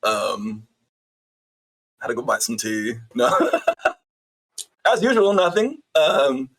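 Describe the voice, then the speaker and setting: A hoarse man, a player on the stream, chatting casually and laughing at his own jokes. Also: medium pitch, very animated, slow pace, a bit bright, poor audio. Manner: giggly, self-mocking. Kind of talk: casual chat.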